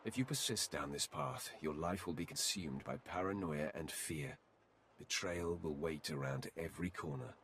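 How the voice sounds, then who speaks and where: An actor playing a Sith warrior and his solemn light-side mirror self in a video game, speaking in a measured, formal, gravelly voice. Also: very deep voice, fairly bright tone, slight background noise. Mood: solemn, admonishing. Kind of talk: video game dialogue acting.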